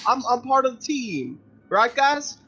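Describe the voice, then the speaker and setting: A man's voice: very high-pitched, very animated, unhurried, pushed and bright, heavily acted. A man playing a defensive, ingratiating corporate agent in a tabletop game, stammering and repeating himself as he seeks approval. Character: defensive, ingratiating corporate company agent insisting he's likable. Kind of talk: tabletop roleplay in character.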